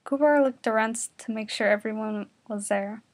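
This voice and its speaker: A woman's voice: fairly high pitch, normal speed, no echo. A woman narrating a comic fan fiction parody, reading it aloud as storyteller.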